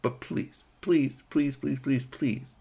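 A man's voice: mid-range voice, fairly even intonation, takes it slowly, gravelly, mellow, breathy edge, voice pushed up, exaggerated voice. A man voicing a small, wily, frantic rabbit in a folktale, pleading and repeating his words. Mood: resigned pleading. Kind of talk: animated oral folktale storytelling.

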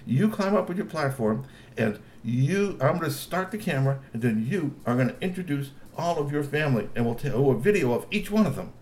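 A high, chirpy journalist who loves the sound of his own introduction, as a storyteller puts him on. His pitch bounces between eager peaks. A faint hiss sits behind him. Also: animated, fairly quick, close to an everyday voice. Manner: bright, eager, showy. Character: cheery, excitable male journalist, self-important.

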